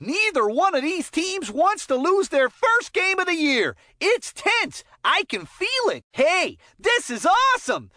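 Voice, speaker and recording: An actor voicing an excitable kid sports commentator in a cartoon hockey game, calling players with folksy enthusiasm. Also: extremely high pitch, unhurried, few pauses, dry recording, studio quality, heavily acted. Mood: excited.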